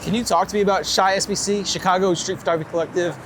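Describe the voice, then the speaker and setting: Fast-talking man interviewing on the street. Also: high-pitched, steady tone, a bit bright. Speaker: interviewer and host. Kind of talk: casual on-street interview questions. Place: outdoors on a city street. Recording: outdoor city street noise, traffic.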